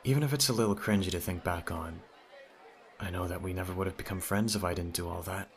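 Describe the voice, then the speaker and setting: A man's voice: deep voice, quick pace, some chatter behind, natural voice. A man playing a teasing, smitten teenage childhood friend in an ASMR audio roleplay, talking straight to the listener in a conversational way. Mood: playful, fond.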